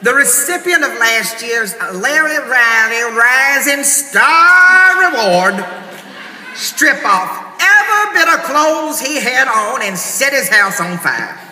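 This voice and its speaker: A man's voice: very high-pitched, expressive, pushed and bright, exaggerated voice. A man playing a prim, scandalized church lady usheress, delivering a pompous mock-sermon comedy monologue as an awards host. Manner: pompous, slow and emphatic, punctuated with amens. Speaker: comic host of a theater awards show. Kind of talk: theatrical stand-up monologue in mock-sermon form. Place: large theater stage before an audience. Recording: echoey theater hall, distant noisy recording. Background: audience noise.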